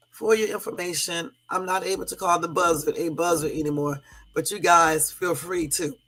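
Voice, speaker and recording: A hoarse woman from the US West Coast hosting a live stream, chatting casually with viewers and sprinkling in lots of fillers. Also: on the low side, a bit bright, poor audio. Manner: chatty, addresses viewers as y'all, many fillers. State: hoarse from a cold. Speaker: livestream host.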